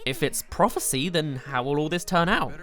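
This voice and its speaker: A narrator presenting a scripted countdown review with emphatic, expressive delivery. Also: medium pitch, normal speed, faint music behind. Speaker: video game reviewer, host.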